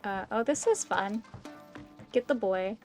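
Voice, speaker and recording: A woman's voice: medium pitch, a little slow, darkish tone, faint music behind. A woman livestreaming a game, giving casual commentary in an amused, teasing way.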